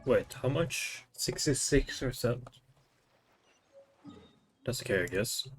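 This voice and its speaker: A man's voice: medium pitch, lively intonation, slow, faint music behind. A man streaming a game with casual, offhand, muttered commentary.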